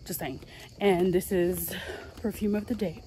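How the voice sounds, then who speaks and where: A young woman talking casually to her phone camera at arm's length outdoors, chatty and saying y'all a lot, with a voice that is going hoarse. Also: lowish voice, steady tone, a little slow, dark tone, slight background noise. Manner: chatty, says y'all and like often. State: losing her voice. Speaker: travel vlogger. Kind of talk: casual vlog chat to camera. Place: outdoors, sunny hillside villa terrace. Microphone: phone camera held at arm's length.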